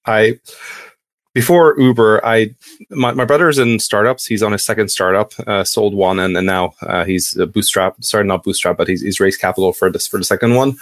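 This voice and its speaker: A man in his thirties from Hungary, a podcast guest telling his story casually and haltingly, often restarting sentences. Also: lowish voice, dry recording.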